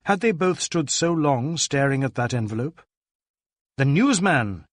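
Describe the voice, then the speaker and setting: Guy narrating a detective audiobook, slightly high voice, really dry sound. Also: expressive, moderate pace, no room sound. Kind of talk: dramatic scripted narration of a detective novel.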